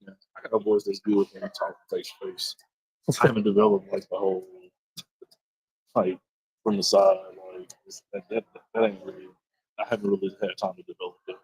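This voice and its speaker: A man from Arkansas with a low, husky voice chats casually at a convention booth. He is relaxed and halting, often restarting his sentences. Now and then he calls out to passersby. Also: poor audio. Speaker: indie comic creator hosting a booth stream. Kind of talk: casual live chat, calling out to passersby.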